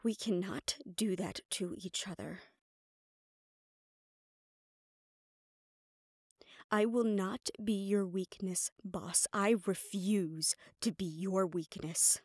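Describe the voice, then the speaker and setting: A woman's voice: medium pitch, fairly even intonation, takes it slowly, hardly any pauses, a little mellow, no echo. Woman acting a composed mafia assistant, close and dry. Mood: pleading, resolute. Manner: firm, reassuring, addressing the listener directly. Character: composed, protective female assistant to a mafia boss. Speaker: voice actor in audio roleplay. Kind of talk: intimate audio roleplay acting.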